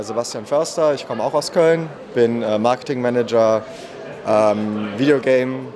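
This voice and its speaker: Chatty man, filler-heavy interview answers. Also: mid-range voice. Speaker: marketing manager and musician, festival guest. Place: indoor room at a festival. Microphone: handheld mic held by interviewer.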